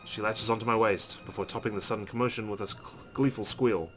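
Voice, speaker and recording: Male gamer reading visual novel text aloud; somewhat low pitch, background music. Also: fairly even intonation, fast. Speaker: let's play host. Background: game music.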